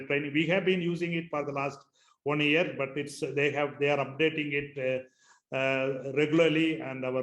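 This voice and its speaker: Indian man, native Tamil speaker, hesitant webinar talk, somewhat high-pitched, roomy echo on a call. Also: a bit bright. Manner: halting, many pauses and fillers. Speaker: school founder, webinar speaker. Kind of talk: webinar presentation. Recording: echoey room on a webinar call.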